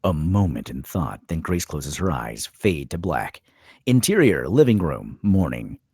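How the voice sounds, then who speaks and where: A man's voice: rumbling low, sing-song, measured pace, a little mellow, breathy edge, no echo, clean studio sound. A man reading scripted stage directions as the narrator of a screenplay table read.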